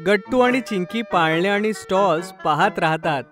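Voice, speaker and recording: High-voiced male children's story narrator. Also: moderate pace, fairly bright tone, soft background music.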